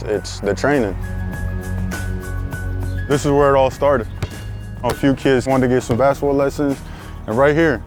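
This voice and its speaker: Young man from South Sudan, program founder, earnest interview delivery, medium pitch, light music under. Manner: earnest, repeats words for emphasis. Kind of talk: documentary interview.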